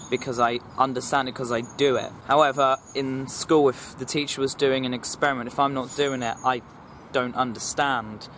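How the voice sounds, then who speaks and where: A young man from England, talking earnestly to camera in a personal vlog. He speaks in a formal, measured way and often repeats himself and restarts sentences. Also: medium pitch, noisy background. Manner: formal, even delivery. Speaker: vlogger.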